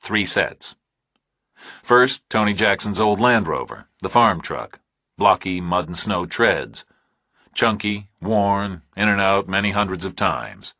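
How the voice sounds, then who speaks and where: A man reading a scripted thriller audiobook with measured, clipped, dramatic phrasing. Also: deep voice, lively intonation, sharp tone, dry recording, clean studio sound. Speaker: audiobook narrator.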